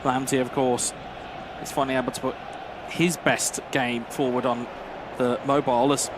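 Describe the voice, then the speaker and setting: A man's voice: mid-range voice, level tone, normal speed, noisy background. Man giving chatty esports analysis. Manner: thoughtful, with many fillers like "kind of". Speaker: esports commentator. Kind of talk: analytical co-commentary.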